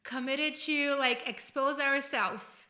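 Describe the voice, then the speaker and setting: A middle-aged woman with a Brazilian accent speaks in a high voice, rambling earnestly as she co-hosts a casual podcast. Her tone is bright and the room adds a clear echo. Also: steady tone, takes it slowly. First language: Portuguese. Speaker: podcast host. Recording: roomy, echoey room sound.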